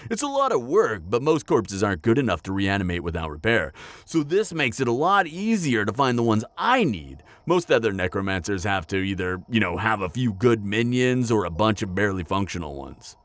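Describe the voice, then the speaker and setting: A man playing a chatty, pragmatic necromancer in an audio roleplay, talking casually and a little haltingly straight to the listener. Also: fairly low pitch, big pitch swings, no room sound. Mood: chatty, amused. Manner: casual, hesitant asides, frequent chuckling. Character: chatty, upbeat, pragmatic male necromancer, former tailor. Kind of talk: conversational roleplay addressed to the listener.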